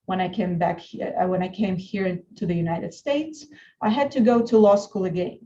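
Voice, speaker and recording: A woman from Russia with a Russian accent, an attorney giving an earnest, deliberate webinar presentation with frequent restarts. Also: lowish voice, mellow, highs cut off.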